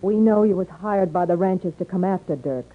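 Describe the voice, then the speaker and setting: An actress playing an outlaw's wary, weary wife in a 1950s radio western, blunt and plain-spoken with a rural American accent. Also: female voice, on the low side, a little fast, lightly acted. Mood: suspicious. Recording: muffled, band-limited 1950s radio recording.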